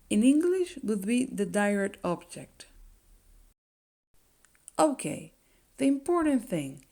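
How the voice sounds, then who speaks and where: Slow, gravelly woman teacher. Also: mid-range voice, darkish tone. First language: Spanish. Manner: slow and deliberate, checks in with "okay?". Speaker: Spanish teacher. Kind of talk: language lesson.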